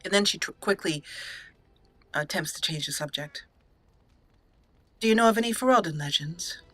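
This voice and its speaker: Lady doing roleplay game commentary, really bright forceful voice, dramatic pauses, bit of music behind. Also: on the low side, lively intonation, no room sound. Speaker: let's play host, roleplayer.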